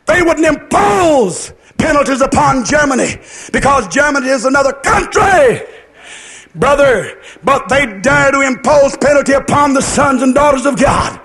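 A man's voice: very high-pitched, animated, moderate pace, gravelly, fairly bright tone. A man preaching a fervent revivalist sermon in a declamatory, sing-song cadence, repeating phrases and putting rhetorical questions to his listeners.

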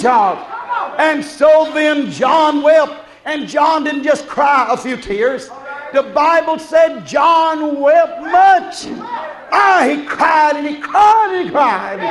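Man preaching fervently in a very high-pitched shout. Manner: shouted exclamations with repeated refrains and calls to the congregation. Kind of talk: fervent Pentecostal-style revival sermon. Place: preaching live before a congregation. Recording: live congregation heard around the preacher. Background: congregation crowd.

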